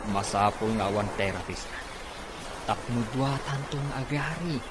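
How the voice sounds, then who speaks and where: A man's voice: mid-range voice, normal speed, lightly acted. A man playing a scheming, greedy cousin in a radio drama, gloating and theatrical. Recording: loud background noise.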